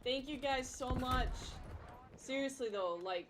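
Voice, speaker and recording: A woman's voice: high-pitched, measured pace. A woman streaming a game and chatting informally with viewers, speaking casually with some mild swearing. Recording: loud game gunfire and music behind. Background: video game gunfire and music.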